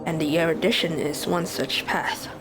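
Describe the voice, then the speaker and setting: A woman's voice: deep voice, steady tone, moderate pace, low-quality recording. A woman voicing a composed, thoughtful space traveller as she reads video game dialogue aloud. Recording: game music behind.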